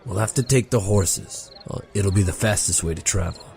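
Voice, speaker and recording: A man playing a gruff dry warrior. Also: deep voice, normal speed, a little mellow, soft background music. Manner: dry, terse. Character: gruff, dry-humored male warrior companion.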